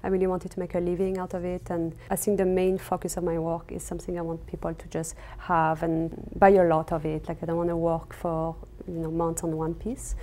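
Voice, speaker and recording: A woman's voice: on the low side, completely flat delivery, a little fast, hardly any pauses, mellow, a little hiss or hum. A woman with a French accent, raised in West Africa, answering interview questions hesitantly with many fillers. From raised in Senegal and Cameroon. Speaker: textile artist guest. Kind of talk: casual interview. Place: her studio.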